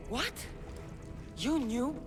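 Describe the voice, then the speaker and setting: A woman's voice: sits high, animated, very slow, over music, full character voice. An actress playing a tough, defiant young female mercenary in a video game, blunt and coolly confident. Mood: curt. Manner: cool, blunt, dryly confident. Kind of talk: video game cutscene acting.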